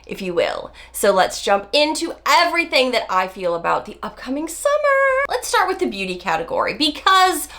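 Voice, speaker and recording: Beauty vlogger, woman in her 40s, a bit nasal from a cold, chatty and emphatic, highish voice. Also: very animated, bright, forceful tone. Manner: chatty, emphatic, drawn-out stresses. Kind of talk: casual talk to camera.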